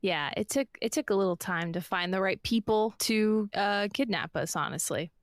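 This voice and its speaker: Woman acting cheeky spy, mid pitch, playful bluffing, casual, dry close sound, tabletop roleplay. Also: normal speed, no room sound, lightly acted.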